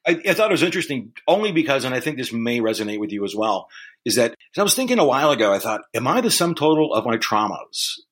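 A man hosting an interview show, his voice bright and animated with a slightly breathy edge. He asks curious, reflective questions and thinks aloud, often restarting his sentences. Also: medium pitch, fast talker. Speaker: podcast host. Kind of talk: interview chat.

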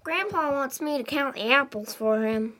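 A woman's voice: sits high, expressive, moderate pace, a bit bright, slight background noise. A woman voicing an earnest, imaginative little boy with a Southern US accent, telling things in a childlike, folksy way for a radio drama. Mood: spooked, earnest. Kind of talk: old-time radio drama acting.

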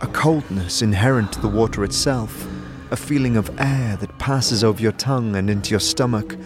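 A man's voice: fairly low pitch, moderate pace. A man narrating a scripted story in the second person. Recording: music behind the voice. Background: music.

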